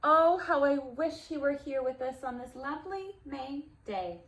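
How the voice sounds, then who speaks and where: Storybook donkey mother played by a woman: tender, longing, higher-pitched, very unhurried. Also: animated, fairly bright tone, echoey room. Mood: wistful. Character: tender, wistful donkey mother missing her son.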